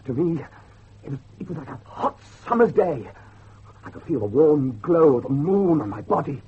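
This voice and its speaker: An unhinged, wolfish young man in a gothic horror radio drama. His voice is very husky and fairly high, swinging expressively, on a slightly dull recording. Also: big pitch swings, normal speed, exaggerated voice. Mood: exhilarated. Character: defiant, unhinged young grandson with a wolfish streak.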